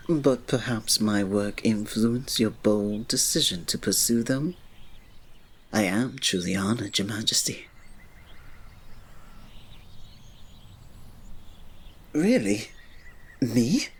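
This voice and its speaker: A man playing a reserved, devoted royal servant in an ASMR romance roleplay, speaking softly, politely and formally with a breathy voice. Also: fairly low pitch, lively intonation, slow pace, pauses often, heavily acted. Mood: shy, tender. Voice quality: soft, breathy. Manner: soft, polite, formal, restrained, often dropping to a breathy murmur.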